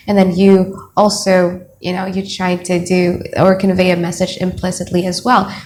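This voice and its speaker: A woman's voice: mid-range voice, darkish tone, slight background noise. A young woman from Indonesia with an Indonesian accent, giving a blunt, chatty tarot reading to camera from home. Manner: chatty, blunt, thinks aloud while laying out cards. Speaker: tarot reader. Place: home room, children heard nearby.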